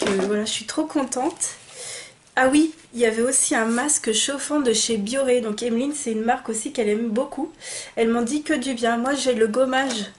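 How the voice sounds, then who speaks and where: Young woman vlogger, slightly high voice, stuffy nose from a cold, bubbly and chatty with lots of fillers. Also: slight background noise. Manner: chatty and enthusiastic, many fillers and restarts. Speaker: beauty vlogger. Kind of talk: casual unboxing chat to camera.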